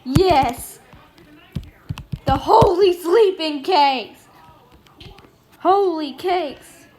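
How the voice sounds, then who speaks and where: A woman's voice: extremely high pitch, drawn-out words, background noise. A woman giving casual, playful and silly gameplay commentary, reading out her roleplay as she goes. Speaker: video game streamer.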